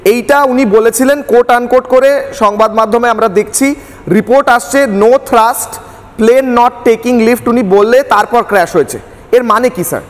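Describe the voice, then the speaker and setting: High-pitched male news interviewer, echoey. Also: measured pace. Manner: deferential, addresses guest respectfully, repeats for emphasis. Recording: echoey hall with background noise.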